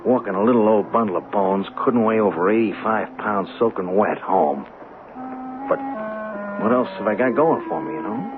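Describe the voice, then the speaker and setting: Actor as low-voiced streetwise young hustler. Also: male voice, lively intonation, lightly acted. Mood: sardonic. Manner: streetwise, slangy, halting when cornered. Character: slangy young hustler, cocky and wary. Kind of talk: radio drama acting. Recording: muffled narrow-band radio broadcast with music.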